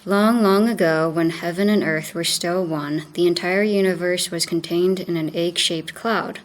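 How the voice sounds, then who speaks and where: A woman reading a creation myth aloud in a careful, measured way. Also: on the low side, steady tone, low-quality recording. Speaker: student reader.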